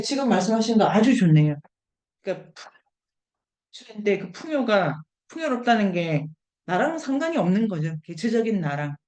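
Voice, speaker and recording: A woman leads a seminar discussion in a mid-pitched voice. She thinks aloud as she explains, addressing the other participants directly and inviting their views. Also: a little mellow. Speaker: discussion leader.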